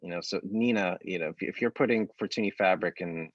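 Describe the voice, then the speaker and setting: A man's voice: low voice, brisk, dry recording, dull audio. A man from the United States, a creative director, talking earnestly in a casual panel conversation and searching for his words.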